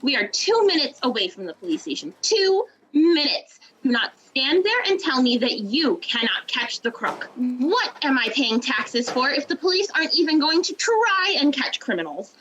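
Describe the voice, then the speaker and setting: A woman's voice: sits high, very animated, normal speed, few pauses, a bit bright, dull audio, a little hiss or hum. A woman playing an indignant, self-important bank official, emphatic and haughty, in an audio drama. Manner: haughty, emphatic, repeating words.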